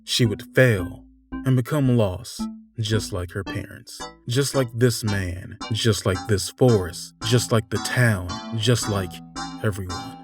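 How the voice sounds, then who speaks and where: A man narrating a scripted fairytale in a measured, theatrical way, pausing between short phrases. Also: lowish voice. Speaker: storyteller narrator. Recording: music bed under the voice. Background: instrumental music.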